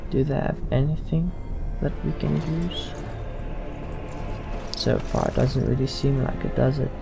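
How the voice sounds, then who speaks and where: A man's voice: medium pitch, fairly even intonation, measured pace, creaky. A man hosting a let's play, commenting in character and giving orders to a party over game music. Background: video game music.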